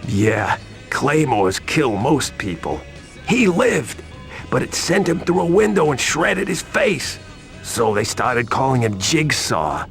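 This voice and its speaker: Uneasy street informant: mid-pitched, lively swings, gravelly fry, video game scene with light music. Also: male voice, full character voice. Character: nervous, fast-reacting male informant, streetwise and worried. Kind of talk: video game cutscene acting.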